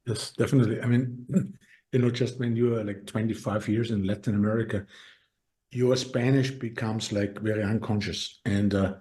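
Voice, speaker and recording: Austrian-accented male guest, warm and mellow, earnest, halting delivery. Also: fairly low pitch, steady tone. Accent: Austrian German. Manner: earnest, halting with many restarts. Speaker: podcast guest. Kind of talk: casual interview talk.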